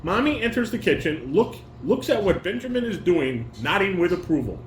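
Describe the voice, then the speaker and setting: Dad-type man running a home table read. Animated pitch, halting reading, frequent self-corrections, roomy sound with some noise. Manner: halting reader, corrects and repeats himself. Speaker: father directing a family table read. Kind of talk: reading stage directions and coaching. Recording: roomy, noisy home recording.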